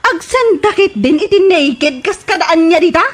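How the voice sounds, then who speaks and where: Woman acting a fed-up, high-pitched Ilocano girlfriend. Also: lively intonation, moderate pace. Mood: angry, scornful. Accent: Filipino, Ilocano. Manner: scolding, emphatic. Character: fed-up, scornful woman confronting her womanizing boyfriend. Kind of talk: radio comedy drama acting.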